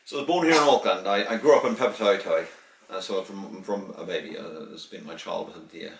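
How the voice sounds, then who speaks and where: New Zealand man in a roomy space, low voice. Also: brisk, vocal fry, recorded from a distance. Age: 50s. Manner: rambling, with restarts and chuckles. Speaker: interviewee. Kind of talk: casual interview answers. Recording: roomy, voice from the mic.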